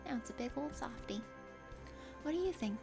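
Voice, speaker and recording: A woman's voice: fairly high pitch, expressive, normal speed, creaky, over music, pitched below the performer's own voice. A woman voicing a kind, eager young female adventurer in a dating game, with a bubbly, casual manner. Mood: playful affection.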